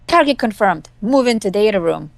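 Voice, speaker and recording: A woman's voice: medium pitch, animated, normal speed, vocal fry, no echo. A woman playing a sardonic young woman hacker in an amateur game reading, wry, flippant and casual. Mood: focused, flippant. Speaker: voice actor in a hobby visual novel performance.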